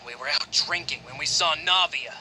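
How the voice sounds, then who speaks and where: A man's voice: fairly high pitch, normal speed, pushed and bright, a little hiss or hum. A man voicing a grudging, defiant male thug in a video game, sore at being beaten. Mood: sullen, defiant.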